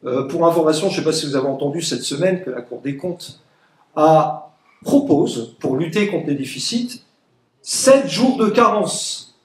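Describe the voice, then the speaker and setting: A man's voice: on the high side, expressive, normal speed. A man of Egyptian origin giving an emphatic, sarcastic political speech at a public meeting, mocking his opponents. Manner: emphatic, sarcastic, quotes opponents in mock voices. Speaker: political speaker. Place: meeting room. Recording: echoey room.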